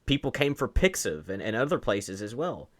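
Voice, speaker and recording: A man's voice: lowish voice, big pitch swings, normal speed. A man giving opinionated video commentary in an argumentative, sarcastic way, often posing rhetorical questions. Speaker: online commentator.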